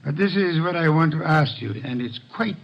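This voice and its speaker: A pompous older detective from an old-time radio play, fairly high in voice. He speaks in formal, measured sentences with dry, lordly amusement. The recording is dull and muffled, like an aged broadcast. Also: animated, creaky. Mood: grave, courteous.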